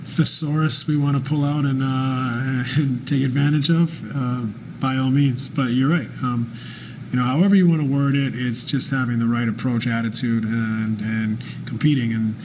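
A man in his forties, a basketball head coach answering postgame press questions in a calm, thoughtful way, often pausing with fillers and restarting phrases. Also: mid-range voice, background noise. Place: press room with sponsor backdrop, indoors. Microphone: desk microphone at arm's length.

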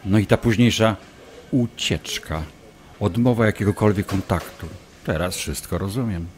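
An actor playing a smug, obsessive doctor in an audio drama, taunting and insinuating as a manipulative ex-lover. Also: male voice, fairly low pitch, moderate pace, a little mellow, a little hiss or hum, lightly acted. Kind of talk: audio drama acting, dialogue.

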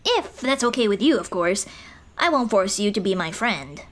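A woman's voice: mid-range voice, big pitch swings, lightly acted. Woman voicing a shy, lilting little goblin girl. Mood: gentle, timid. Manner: hesitant, timid, soft-hearted, halting. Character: small, shy, kind-hearted female goblin, gently comforting. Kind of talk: ASMR roleplay, intimate one-sided dialogue. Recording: birdsong and outdoor ambience behind voice. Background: birdsong, nature ambience.

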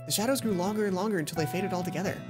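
Young woman reading out a visual novel, lowish voice, game music behind her. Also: rapid-fire. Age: young adult. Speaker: let's play host. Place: indoor room, seated at a computer.

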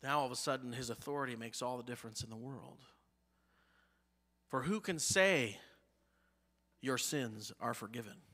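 A man in his thirties with an American accent, preaching a sermon from a church pulpit. He lifts his voice on key phrases and lets line endings fall low and soft. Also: normal speed, long pauses. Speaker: pastor. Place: church sanctuary, behind a pulpit. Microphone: pulpit mic, medium-wide camera.

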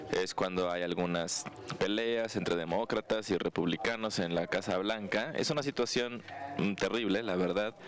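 A man's voice: low voice, fairly bright tone. A man interpreting a lecture aloud, clause by clause.

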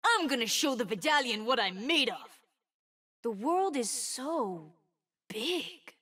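Cheerful kid fire mage boy for a game, high voice, slow delivery, youthful n earnest. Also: sing-song, bright, forceful tone, slightly rough, recorded from a distance, heavily acted. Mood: earnest, wistful. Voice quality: light, youthful. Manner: exuberant, earnest. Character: cheerful, brave twelve-year-old fire-mage boy, homesick but wholesome. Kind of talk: video game character voice lines.

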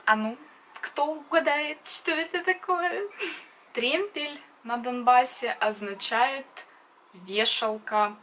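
A woman in her twenties with a Donbass accent talks straight to her webcam in a small indoor room, chatty and blunt, laughing often. Her voice sits a little high and sounds bright and sharp. The delivery is casual, like a video blog. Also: slow pace, a little hiss or hum, poor audio. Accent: Donbass Russian. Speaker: vlogger. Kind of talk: casual to-camera chat.

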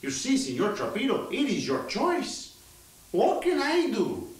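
A man's voice: very high-pitched, huge pitch swings, unhurried, exaggerated voice. A man acts out a self-pitying dictator general who whines about his burdens in a thick mock Spanish accent. His voice swings widely up and down. It sounds roomy and set back from the microphone, like an old radio play. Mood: whining. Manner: whining, theatrical sighs. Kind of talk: 1980s radio play acting. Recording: roomy, distant studio sound.

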